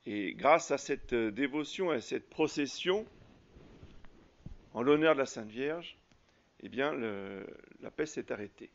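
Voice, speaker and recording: A man preaching a sermon as a priest, halting often with fillers and restarts. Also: mid-range voice, animated, highs cut off. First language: French.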